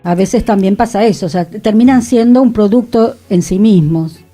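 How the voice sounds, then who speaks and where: Mellow-voiced woman on the radio. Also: mid-range voice, moderate pace, soft background music. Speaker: radio show co-host, actress. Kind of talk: casual radio discussion. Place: radio studio.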